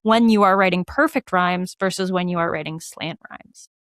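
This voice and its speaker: A woman's voice: on the low side, normal speed, fairly bright tone, no echo, clean studio sound. A woman chatting casually as a podcast guest, upbeat and talkative about her creative work. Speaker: podcast guest, writer and performer. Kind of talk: casual interview chat.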